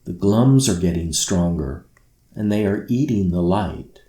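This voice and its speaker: Guy doing a gentle magical dream spirit, soft n calm, mellow and slow, bedtime story. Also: sits low, reverberant. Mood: calm, regretful. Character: the Sandman, gentle mysterious magical male spirit. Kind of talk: children's bedtime storybook reading.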